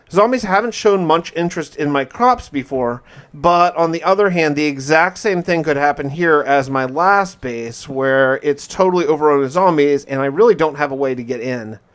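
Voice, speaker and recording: Theatrical man with a higher-pitched voice. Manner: theatrical, emphatic, with drawn-out words. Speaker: gaming video host. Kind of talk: dramatic first-person survival diary narration of gameplay.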